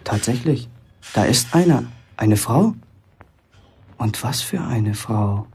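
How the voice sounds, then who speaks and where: An actor playing a sullen, restless young bricklayer's apprentice in a German radio play, curt and evasive with a defiant streak. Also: male voice, medium pitch, animated, slow pace, creaky, a little mellow, faint music behind. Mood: musing alone. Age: young adult.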